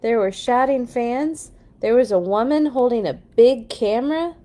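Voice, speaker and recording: A woman reading a children's story aloud in an expressive, playful way for young listeners. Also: on the high side, measured pace. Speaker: children's read-along storyteller. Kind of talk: storybook read-aloud with animated asides.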